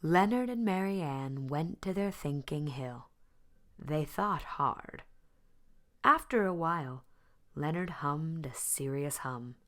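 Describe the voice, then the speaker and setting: Slow, low-pitched woman reading a storybook. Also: animated, a bit bright. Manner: deliberate, dramatic pauses. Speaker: storybook reader. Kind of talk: expressive children's read-aloud narration.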